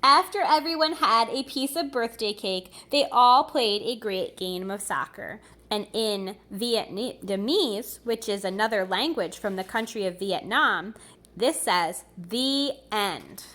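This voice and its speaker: A woman reading picture books aloud to young children in an expressive, deliberate way, with little asides. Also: mid-range voice. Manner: slow, deliberate, expressive, pausing over words for young listeners. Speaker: preschool teacher reading aloud.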